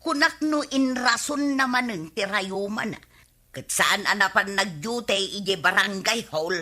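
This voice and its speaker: A woman's voice: mid-range voice, a little slow, sharp tone. A woman playing a nosy, scheming village gossip in an Ilocano radio comedy drama, with an Ilocano accent and a teasing, needling delivery. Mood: sly gossiping.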